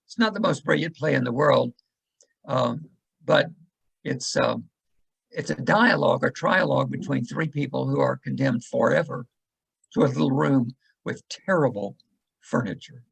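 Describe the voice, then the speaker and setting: Man, extremely gravelly, husky, slow and musing. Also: mid-range voice, rough recording. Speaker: class member. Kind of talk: casual group discussion.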